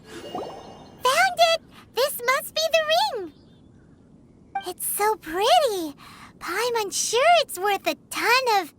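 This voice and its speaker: Squeaky lil greedy game fairy, childlike n excitable, slow drawn-out words, music behind. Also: female voice, exaggerated voice. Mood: excited. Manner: excitable, refers to self in third person. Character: tiny floating fairy companion, childlike, excitable, greedy for treats. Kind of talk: video game voice acting.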